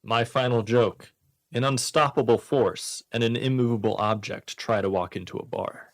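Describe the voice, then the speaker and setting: A man's voice: mid-range voice, normal speed, no room sound. A man hosting a radio comedy show, reading jokes and bantering casually with dry, mock-serious commentary. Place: radio studio.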